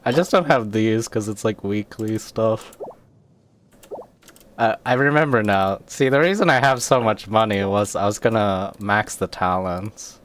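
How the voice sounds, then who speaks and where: A man's voice: lowish voice, unhurried, fairly bright tone, a little hiss or hum. A man livestreaming a game, rambling casually and muttering to himself as he plays. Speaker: livestreamer, gamer. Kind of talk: casual livestream gaming chat. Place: indoors at a computer.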